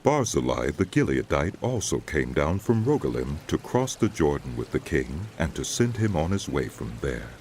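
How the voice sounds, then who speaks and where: A man narrating a dramatized Bible as a scripted, measured storyteller. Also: a bass voice, sing-song, a little mellow, a little hiss or hum.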